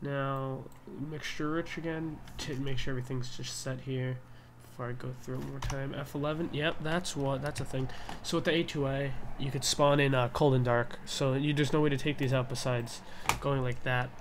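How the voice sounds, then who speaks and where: Casual man explaining over clear background noise. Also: medium pitch, steady tone, moderate pace, hardly any pauses. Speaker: gaming tutorial host. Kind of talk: casual flight simulator tutorial commentary.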